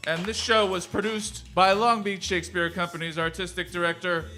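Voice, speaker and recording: A man's voice: high-pitched, little pitch movement, moderate pace, over loud music. A man reading out show credits as a stage announcer, in a live radio drama presentation. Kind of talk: stage announcement over music.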